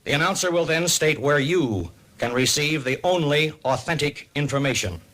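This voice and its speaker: A man with an American accent narrating a 1950s civil defense radio program in a formal, deliberate, authoritative announcer style. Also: fairly high pitch, a bit bright, lightly acted. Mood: grave, instructive.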